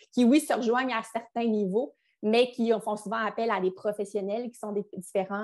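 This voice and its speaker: Young woman, Quebec French accent, webinar presenter, conversational, restarts often, laptop mic. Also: mid-range voice. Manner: conversational, with frequent restarts and fillers. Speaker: business succession advisor.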